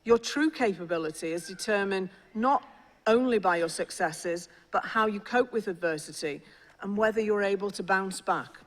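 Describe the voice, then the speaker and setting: A middle-aged speaker with a Liverpool accent gives a measured, formal address to graduates. The voice sits in a middle register and carries a long reverb from a large ceremonial hall. The delivery is steady and encouraging, with small restarts here and there. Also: mid-range voice. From England (Liverpool). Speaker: senior university official.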